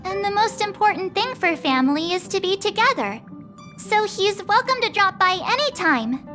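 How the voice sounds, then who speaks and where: A cheerful, cocky young heroine in a game, her voice very high and bright. She talks slowly and playfully, with big swoops of pitch and a boastful bounce. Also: expressive, soft background music, heavily acted. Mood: bubbly.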